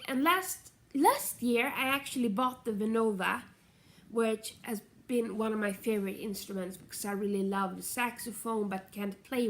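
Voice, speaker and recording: A woman's voice: a little high, a bit bright. Chatty nasal woman vlogging. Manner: chatty, meandering, self-correcting. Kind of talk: casual rambling vlog chat.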